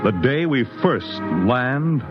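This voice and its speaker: Slow dramatic male radio announcer. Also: mid-range voice, lively intonation. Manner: slow, ominous, dramatic delivery. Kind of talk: scripted dramatic narration. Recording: muffled 1950s radio broadcast over music.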